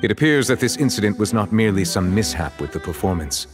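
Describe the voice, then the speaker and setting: A man playing a composed, authoritative official in a video game, speaking in a formal, measured manner. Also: on the low side, animated, over music, natural voice. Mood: calm, grave. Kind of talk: dramatic video game acting.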